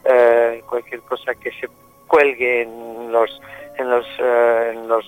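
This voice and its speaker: A man's voice: medium pitch, completely flat delivery, takes it slowly, soft background music, rough recording. A man being interviewed on the radio about a gathering he helps organize, speaking hesitantly and often repeating words. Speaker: guest organizer of a rural gathering.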